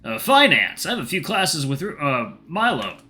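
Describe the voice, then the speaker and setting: Polite young sporty boyfriend acted by a male narrator, bright forceful voice, lively pitch, earnest, slightly hesitant. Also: normal speed, soft background music. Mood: polite, nervous.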